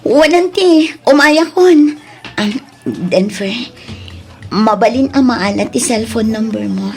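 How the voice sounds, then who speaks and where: A woman playing a soft-hearted young single woman in an Ilocano audio drama, with music behind her. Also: fairly high pitch, lively intonation, takes it slowly, gravelly, exaggerated voice. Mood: shy. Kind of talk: Ilocano radio-style drama acting.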